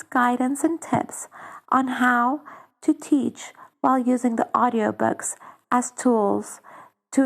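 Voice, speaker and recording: Woman, somewhat high voice, creaky fry, formal, measured interview guest. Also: poor audio. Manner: measured, formal, reads like prepared answers. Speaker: guest, social entrepreneur. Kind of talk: podcast interview answers.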